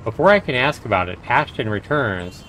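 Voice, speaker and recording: A man's voice: mid-range voice, very animated, brisk, fairly bright tone, a little hiss or hum. A man livestreaming a game, reading the narration aloud and adding his own commentary. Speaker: streamer and host.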